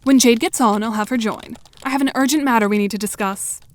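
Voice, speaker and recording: A woman's voice: fairly high pitch, fast, close to an everyday voice. A woman playing a composed, bossy teenage girl who speaks formally and dryly in an audio drama. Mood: stern, dry. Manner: formal, articulate, deadpan. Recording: clearly noisy home mic, clicks.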